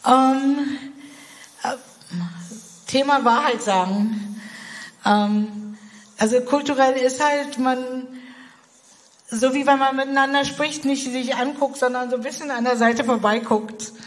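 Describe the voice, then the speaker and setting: A woman's voice: high-pitched, fairly even intonation, slow pace, pauses often. Hesitant woman with long hall reverb. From Germany. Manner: hesitant, many fillers and restarts. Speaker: missionary guest. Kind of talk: interview conversation.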